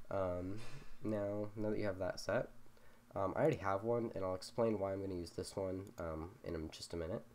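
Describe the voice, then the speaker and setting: A young man with a slight cold, explaining coding steps in a casual, halting way full of ums. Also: sits low, a little mellow, slight background noise. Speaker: programming tutorial host. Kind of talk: screen-recorded coding walkthrough.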